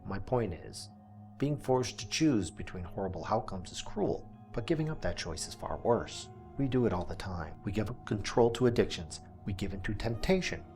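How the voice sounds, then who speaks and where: A man playing an earnest small-town radio host, delivering a scripted monologue deliberately, with dramatic pauses and emphasis. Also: fairly low pitch.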